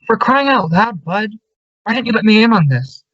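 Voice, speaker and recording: A woman acts a brash young fellow in an old radio thriller. The voice is husky and mid-pitched, with an exasperated edge. The audio is dry and a bit dull. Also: expressive, normal speed, fairly bright tone, no echo. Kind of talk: old-time radio suspense acting.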